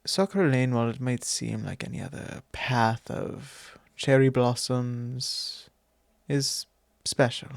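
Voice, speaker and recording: A man playing a courtly, fond vampire lord in an intimate ASMR roleplay, speaking formally and unhurriedly with pauses. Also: mid-range voice, creaky, no echo. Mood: gentle, earnest. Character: courtly possessive male vampire lord, long-lived, fond and wistful. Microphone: close microphone, dry.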